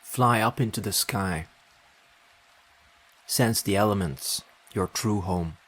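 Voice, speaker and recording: A man leading a guided meditation as narrator, speaking in deliberate short phrases with long pauses between them. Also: fairly low pitch, a bit bright.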